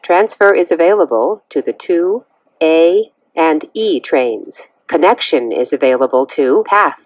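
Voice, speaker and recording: A woman's voice: low-pitched, expressive, a little slow, lo-fi sound. A woman reading scripted automated announcements for train passengers.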